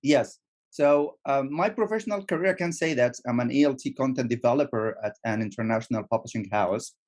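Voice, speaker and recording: A man's voice: medium pitch, fairly quick, a little mellow. Man speaking English with restarts. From Egypt. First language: Arabic. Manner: repeats words, restarts often. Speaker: guest, teacher trainer. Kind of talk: casual interview.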